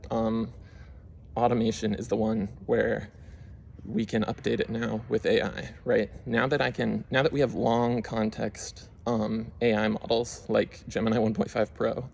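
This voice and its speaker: A man's voice: fairly low pitch, flat delivery, moderate pace, creaky, a little mellow, a little hiss or hum. A young man casually walking through his screen, rambling with lots of fillers as he explains what he did. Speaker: YouTube creator. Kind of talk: casual screen-share walkthrough.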